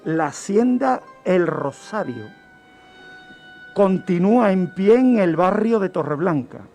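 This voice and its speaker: A man, telling true-crime cases as a guest criminologist on a radio show, explaining deliberately. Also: high-pitched, animated, darkish tone. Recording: music bed under the voice. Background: background music.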